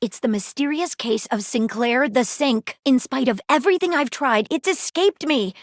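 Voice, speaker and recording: High, animated lady detective. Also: no echo, studio quality. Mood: troubled, confiding. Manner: formal, deliberate, theatrical phrasing. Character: earnest, flirtatious female detective devoted to cracking cases. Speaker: voice actor in a video game. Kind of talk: scripted game character dialogue.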